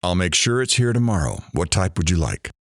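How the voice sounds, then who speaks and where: An actor playing a chatty, showy radio talk show host in an audio drama. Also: male voice, sits low, sing-song, a little fast, fairly bright tone, no echo, studio quality, heavily acted. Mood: upbeat, showy.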